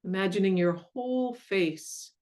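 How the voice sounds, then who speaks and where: A woman leading a guided meditation in a gentle, soothing, unhurried way with long pauses. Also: medium pitch. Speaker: meditation guide and mindfulness coach.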